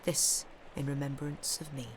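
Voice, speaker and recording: A woman's voice: rumbling low, flat delivery, moderate pace, a little hiss or hum, low-quality recording. A woman hosting a live stream of festive readings from home, speaking into a streaming microphone. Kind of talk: expressive literary reading aloud; casual live-stream chat.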